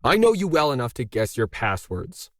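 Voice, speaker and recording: A man's voice: medium pitch, sing-song, normal speed, a bit bright, no echo, studio quality. A man voicing a bitter middle-aged male artist in a story, indignant, pressing and sarcastic. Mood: bitter, aggrieved.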